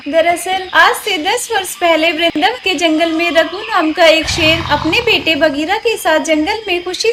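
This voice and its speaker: A woman with a very high voice narrates a scripted animal fable for children. She reads as the storyteller, and there is clear background noise around her voice. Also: bright, forceful tone.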